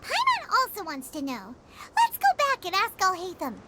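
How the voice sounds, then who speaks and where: A woman voicing a tiny, childlike fairy companion in a video game, squeaky and bubbly, curious and blunt. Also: huge pitch swings, normal speed, very noisy, full character voice. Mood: curious, musing. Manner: bubbly, speaks of self in third person. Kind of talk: animated video game character acting.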